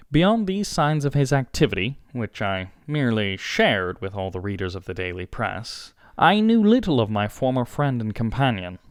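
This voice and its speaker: A man reading scripted literary narration for an audiobook, with measured, dramatic phrasing and pauses. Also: lowish voice, clean tone, dry recording, clean studio sound.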